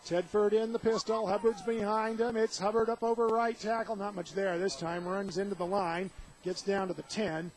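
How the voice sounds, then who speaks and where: A man's voice: high-pitched, fairly even intonation, normal speed. An American man calling live play-by-play of a football game for radio. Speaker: play-by-play commentator. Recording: noisy outdoor stadium radio broadcast.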